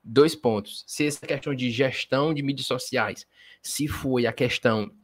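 A man's voice: mid-range voice, normal speed. A man from Ceará with a Northeastern Brazilian accent, hosting a podcast in a loose, joking style full of slang. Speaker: podcast host. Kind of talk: casual podcast chat.

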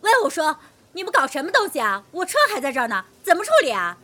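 Shrill, pushy, irritable female customer. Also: big pitch swings, fast talker, bright, forceful tone, a little hiss or hum, heavily acted. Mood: angry, scolding. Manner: scolding, demanding, indignant. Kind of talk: audio drama acting.

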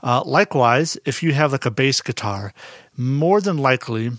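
A man with a naturally dark voice, giving a relaxed, conversational lesson with plenty of fillers and restarts. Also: mid-range voice, normal speed, no echo, clean studio sound. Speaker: audio instructor. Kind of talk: casual explanatory lesson.

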